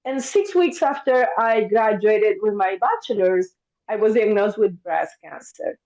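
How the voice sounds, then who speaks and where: Lively Argentine-born woman on a dull line. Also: unhurried. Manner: warm, animated, acts out her past thoughts in a higher voice. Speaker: podcast guest, therapist. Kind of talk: casual interview storytelling.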